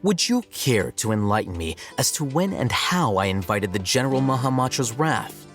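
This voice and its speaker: An actor playing an aloof, analytical young male scholar in a video game, speaking in a measured, formal, dryly probing way. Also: medium pitch, very animated, fairly bright tone, soft background music. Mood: dry, challenging. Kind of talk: video game cutscene acting.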